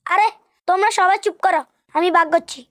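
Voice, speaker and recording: A woman plays a young cartoon schoolboy with an extremely high, childlike voice. He is bossy and commanding, a little ringleader giving out orders to his gang. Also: fairly even intonation, brisk, fairly bright tone. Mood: bossy, defiant. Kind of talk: amateur children's cartoon dub.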